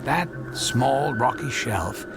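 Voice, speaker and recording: An actor playing an obsessed, apologetic middle-aged scientist and husband in a radio drama, halting and repeating his words. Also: medium pitch, lively intonation, over loud music. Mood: earnest, intense.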